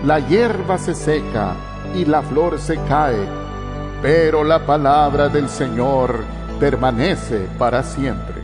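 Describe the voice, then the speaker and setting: A man's voice: on the high side, slow. A man reciting scripture quotations in a solemn, dramatized style. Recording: music bed under the voice.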